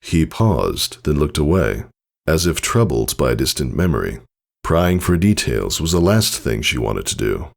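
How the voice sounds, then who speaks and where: A man with a very low voice reads scripted fiction as a dramatic narrator. His tone has plenty of fry and moves expressively up and down. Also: very animated, moderate pace. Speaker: audiobook narrator.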